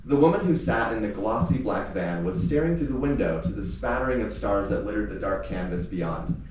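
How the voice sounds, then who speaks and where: Guy author reading his story out loud at an event, animated, echoey room with faint noise. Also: fairly low pitch, a little fast, distant mic. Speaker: teacher. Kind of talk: informal live event talk and story read aloud. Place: indoor venue with a small live audience.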